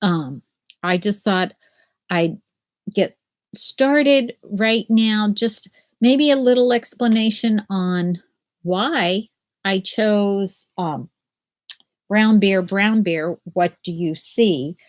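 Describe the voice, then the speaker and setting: An older woman teaching children from home over a webcam, explaining a picture book and then reading it aloud in a gentle sing-song. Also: medium pitch, drawn-out words. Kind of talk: children's read-aloud lesson. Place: small room at home. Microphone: laptop webcam video call.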